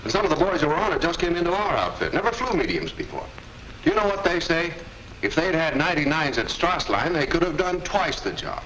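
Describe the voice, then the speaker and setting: A cocky young bomber lieutenant, bragging eagerly. He talks quickly, with very animated pitch. The recording is a noisy 1940s film soundtrack. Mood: boastful, eager.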